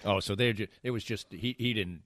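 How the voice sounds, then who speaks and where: A man's voice: fairly low pitch, brisk, a bit bright, no room sound, studio quality. A middle-aged American man hosting a podcast, bantering casually and genially with a wry streak. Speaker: podcast host. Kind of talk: casual banter over beers.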